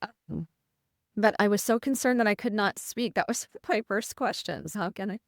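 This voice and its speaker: A woman's voice: mid-range voice, moderate pace, dry recording, clean studio sound. Earnest woman nurse retelling her ordeal. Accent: American Midwestern. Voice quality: sometimes slightly rough voice. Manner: earnest, vivid retelling with quoted inner thoughts. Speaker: nurse, podcast guest. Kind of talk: conversational storytelling interview.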